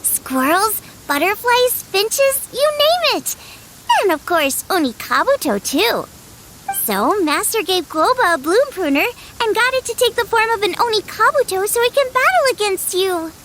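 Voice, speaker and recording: Squeaky little fairy sidekick, super high, chirpy know it all, game audio w loud noise behind. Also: female voice, big pitch swings, normal speed. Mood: explaining. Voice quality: squeaky, childlike. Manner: perky, chatty. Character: tiny floating fairy-like girl companion, chirpy and know-it-all. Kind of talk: video game voice acting.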